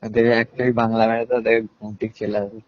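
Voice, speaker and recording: Low, flat-toned man on a phone. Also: quick pace. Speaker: friend receiving a call. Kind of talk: casual phone chat. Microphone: phone call. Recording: muffled phone line.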